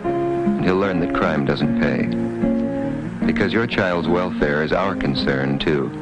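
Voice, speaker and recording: An extremely deep male voice delivers an old television station announcement over loud plucked guitar music. The delivery is measured, formal and earnest. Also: wildly swooping pitch. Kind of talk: vintage television public service announcement.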